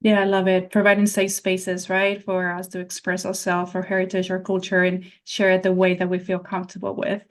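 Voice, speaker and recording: A woman moderating a panel, affirming speakers in a steady way. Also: on the low side, brisk, hardly any pauses. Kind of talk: panel discussion.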